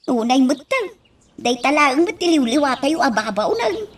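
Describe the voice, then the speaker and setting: Woman acting a high-pitched coy working girl. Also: sing-song, normal speed, distant mic, slight background noise. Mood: coy, pleading. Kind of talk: Ilocano radio comedy drama acting.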